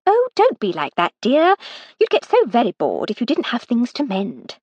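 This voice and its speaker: A woman's voice: fairly high pitch, wildly swooping pitch, normal speed, a little mellow, breathy edge, dry recording, muffled recording, voice pushed up, exaggerated voice. A woman voicing a posh, teasing upper-class English lady who drawls with playful mockery. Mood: airy. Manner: arch, drawling and playfully mocking.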